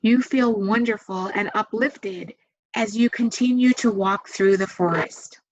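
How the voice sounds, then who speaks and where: Female meditation guide, very slow delivery, soothing and gentle with long pauses, slightly muffled lo-fi recording. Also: medium pitch, slight background noise. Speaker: meditation guide and massage therapist. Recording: dull, muffled lo-fi sound.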